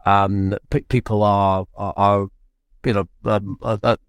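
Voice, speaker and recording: A British male correspondent talks through his analysis in an interview. His voice sits fairly low and is recorded dry and close. He speaks haltingly, restarting phrases as he goes. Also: flat delivery, smooth, no echo. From Britain. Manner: halting, hesitant, measured with frequent restarts. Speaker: foreign correspondent, interview guest. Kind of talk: unscripted analysis in podcast interview.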